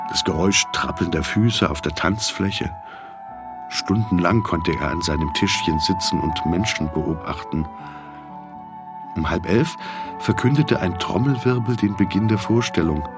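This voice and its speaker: A man reading literary fiction as an audiobook narrator, in a measured, reflective manner. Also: deep voice.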